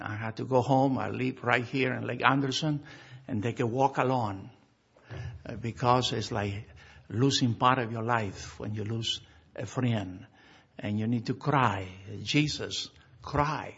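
A middle-aged man from Argentina, a pastor giving a memorial service address, halting with frequent fillers. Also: medium pitch, fairly bright tone, slightly rough, lo-fi sound.

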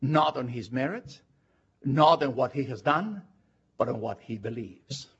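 An older man from Argentina with an Argentine Spanish accent, preaching a sermon with emphatic, drawn-out words. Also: medium pitch, breathy edge. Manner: animated and emphatic, drawing out key words. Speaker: pastor. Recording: dull lo-fi recording.

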